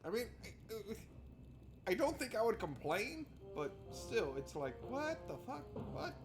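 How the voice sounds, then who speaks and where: A man hosting a visual novel playthrough, reading narration aloud and adding casual, wry asides. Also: a little high. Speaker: gaming video host.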